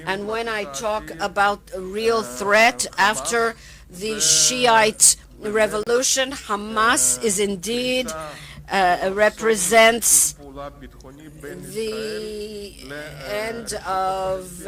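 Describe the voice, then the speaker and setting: A woman giving a live interpretation of a conference interview, with a measured, halting delivery and pauses as she keeps up. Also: mid-range voice, crowd noise, low-quality recording. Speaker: simultaneous interpreter.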